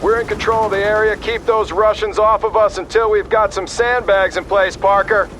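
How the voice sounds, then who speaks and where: Actor as a high-voiced gung-ho army captain, noisy battlefield. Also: male voice, fairly even intonation, normal speed, natural voice. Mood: brisk, determined. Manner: brash, forceful soldier talk. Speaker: army field officer. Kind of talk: video game military radio acting.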